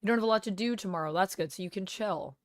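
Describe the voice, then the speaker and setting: A woman streamer under the weather with a cold, talking casually with a gravelly, smoky voice as she chats with viewers and thanks them by name. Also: medium pitch, quick pace, no room sound, studio quality. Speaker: live streamer. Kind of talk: casual live-stream chat, reading viewer comments. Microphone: gaming headset.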